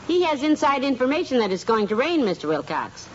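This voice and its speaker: A woman playing a patient, sensible wife who gently scolds her husband in a 1950s radio sitcom. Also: high-pitched, big pitch swings, fairly quick. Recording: muffled old radio recording with loud hiss.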